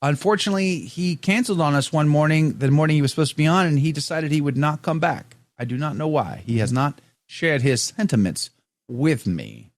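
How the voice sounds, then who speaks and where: Lively, playful male podcast host. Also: a little high, brisk, no room sound. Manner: playful, jokey, reads viewer comments aloud. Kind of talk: casual chat.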